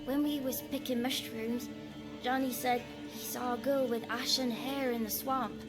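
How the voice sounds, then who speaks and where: A woman playing a timid, wary little peasant child in a video game, with halting, naive speech. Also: on the high side, steady tone, lightly acted. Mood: timid, trusting. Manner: halting, naive, rustic grammar. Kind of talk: video game cutscene acting. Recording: music behind.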